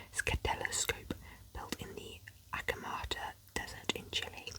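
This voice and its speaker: A woman whispering a book of facts aloud for ASMR, slowly repeating words, with chatty, lightly sarcastic asides and a sniffly nose from a cold or hay fever. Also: rough recording. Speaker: ASMR creator.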